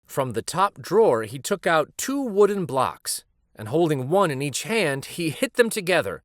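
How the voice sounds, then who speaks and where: A man reading a children's picture book aloud as a storyteller, with an unhurried, expressive delivery. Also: on the high side, dry recording, studio quality.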